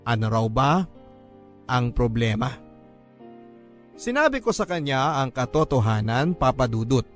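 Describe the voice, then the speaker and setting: Filipino guy narrating a dramatic love story letter, mid pitch, music under him. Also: big pitch swings, measured pace, long pauses. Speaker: narrator reading a listener's letter. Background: background music.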